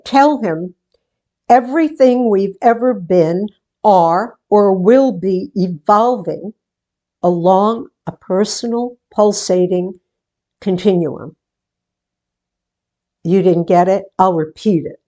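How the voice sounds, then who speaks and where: A middle-aged woman playing a wry, chatty bookish woman, musing and deliberate in a theatrical radio-play monologue. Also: mid-range voice, warm tone, dull audio. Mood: patient, exasperated. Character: wry, chatty grown woman running a book exchange.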